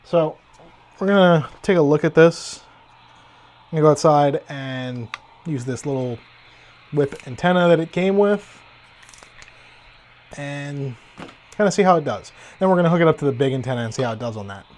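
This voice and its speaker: A man's voice: fairly high pitch, animated, normal speed, long pauses, darkish tone. A man giving a casual hands-on gadget review in a conversational, explanatory way. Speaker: tech reviewer.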